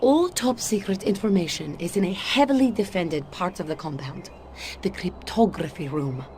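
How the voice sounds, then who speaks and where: A woman's voice: fairly low pitch, expressive, normal speed, darkish tone, slight background noise, close to an everyday voice. A woman playing a shrewd Soviet spy in a video game, speaking with a Russian accent in a guarded, wry way. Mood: grave, businesslike. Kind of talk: video game cutscene voice acting.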